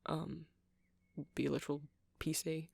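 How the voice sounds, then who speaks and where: A man's voice: on the high side, level tone, a little slow, vocal fry, darkish tone, slightly rough, dry recording, exaggerated voice. A man playing a shy, earnest, nerdy vampire in an ASMR roleplay, speaking in a hesitant, rambling, stammering way. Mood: earnest, defensive. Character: shy, earnest, nerdy male vampire running a music shop.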